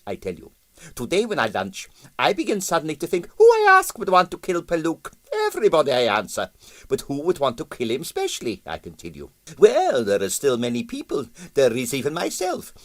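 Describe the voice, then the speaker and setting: Excitable Russian impresario, swooping high. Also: male voice, brisk, dry recording. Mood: expansive, boastful. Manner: theatrical, broken English with bits of French. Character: excitable, boastful Russian ballet impresario, affable and scheming.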